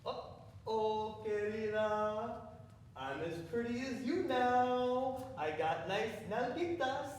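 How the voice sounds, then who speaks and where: A man's voice: high voice, lively intonation, takes it slowly, slight background noise. Man playing a swinging, rowdy flirtatious cowboy. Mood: woozy, flirtatious. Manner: loose, slangy, mixing in Spanish. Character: rowdy, flirtatious drugged cowboy, slangy and dazed. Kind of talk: live stage acting. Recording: very echoey theatre, distant mic.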